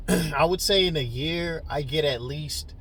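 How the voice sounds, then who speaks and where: A man in his early forties with a slightly high voice talks casually to camera. His delivery is slangy and joking, like an off-the-cuff video blog. There is a light rumble of car-like noise behind him. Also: unhurried, creaky. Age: early 40s. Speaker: video blogger.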